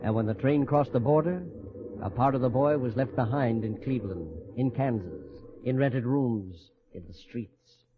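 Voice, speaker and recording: A man narrating a scripted 1940s radio drama in a measured, literary storytelling way. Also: mid-range voice, a crowd in the background. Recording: dull old-time radio recording. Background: music.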